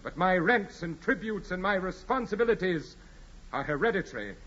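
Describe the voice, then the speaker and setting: Haughty aristocrat, muffled old radio. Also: male voice, sits high, animated, a bit bright. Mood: imperious, cold. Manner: formal, measured, haughty. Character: proud, imperious aristocratic male landowner, coldly courteous. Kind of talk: 1940s radio drama acting. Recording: muffled 1940s radio recording with music.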